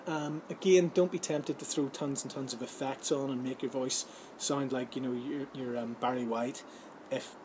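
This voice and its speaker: A middle-aged man talks through tips in an informal tutorial, with a medium-pitched voice. His delivery is conversational and a little halting. He is recorded outside on a camera mic, with faint ambient noise behind him. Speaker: host giving voice-over advice. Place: outdoors in a sunny garden or patio. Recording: outdoor ambient background noise.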